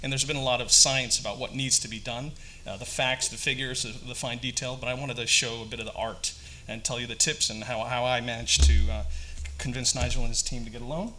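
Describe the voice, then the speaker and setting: Bright-voiced North American man speaking at a conference. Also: mid-range voice, steady tone, a little fast, a little hiss or hum. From Canada and Singapore. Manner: friendly, hesitant, joking asides. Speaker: guest speaker, company founder. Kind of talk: conference talk.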